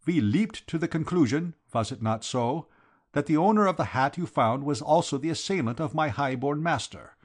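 A man's voice: a little high, expressive, moderate pace, voice pushed up. A man voicing a formal, flowery foreign count, solemn and emphatic, devoted to his master, in a storybook reading. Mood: courtly, earnest.

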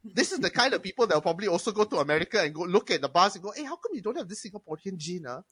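A young man with a Malaysian English accent tells stories in a casual podcast chat. His voice is bright and sharp and swings widely in pitch, with a dry, close sound. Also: racing, slightly rough, dry recording. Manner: animated, profane storyteller who mimics customers. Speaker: podcast guest, former bartender and film reviewer.

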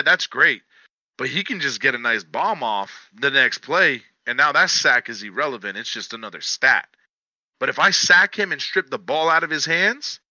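Bright-voiced coach on a slightly dull line. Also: male voice, medium pitch, dry recording. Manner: emphatic, coaching tone. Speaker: football coach. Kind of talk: casual sports podcast talk.

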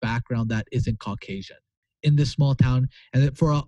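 A man's voice: mid-range voice, moderate pace, mellow, no room sound. A young man from Pakistan, a guest talking in a casual interview in an earnest, rambling way with many restarts. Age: young adult. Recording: muffled lo-fi sound.

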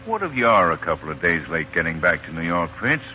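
Deep-voiced grumbling pal. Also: male voice, big pitch swings, fast. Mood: wry, grumbling. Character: grumbling, wry American newspaperman friend. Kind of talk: 1940s radio acting. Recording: muffled, faintly noisy 1940s radio recording.

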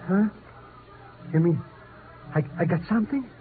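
A man's voice: high voice, expressive, unhurried. An actor playing a whiny, cowardly sick man who frets and repeats himself, in 1950s radio drama style. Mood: alarmed. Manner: whining, repeats himself in alarm. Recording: noisy, tinny 1950s radio recording.

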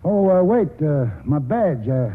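A wily old American grandpa rambles in folksy rural talk, stubborn as can be. His husky voice rides high and swoops around, heard through a muffled 1940s radio broadcast. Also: sing-song, measured pace. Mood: flustered, folksy. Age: older. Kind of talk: 1940s radio drama acting.

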